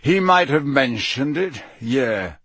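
A man voicing a gruff, menacing old wizard teacher in an audiobook, with a gravelly growl and clipped, barking delivery. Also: mid-range voice, animated, takes it slowly, a bit bright, dry recording, heavily acted. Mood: unconcerned.

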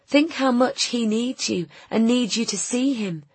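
A woman reader puts on a soft-hearted young woman for an audiobook. She is earnest, pitying and pleading, pitched clearly higher, with a slightly husky edge. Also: a little slow, no echo. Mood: encouraging. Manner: gentle, pleading, higher-pitched than the narration. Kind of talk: audiobook character dialogue.

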